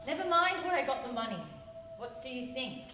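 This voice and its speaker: A woman's voice: high voice, expressive, brisk, bright, forceful tone. An actress playing a bold, eager young woman with big dreams in a live radio play. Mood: proud. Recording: distant, very echoey stage, faint noise.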